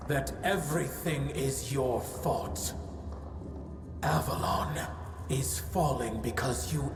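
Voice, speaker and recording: An actress playing an ancient giantess in a fantasy game, solemn, grieving and fiercely maternal, speaking gravely and formally. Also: female voice, sits low. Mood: bitter, accusing. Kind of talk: dramatic fantasy game dialogue. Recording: echoey voice over loud music.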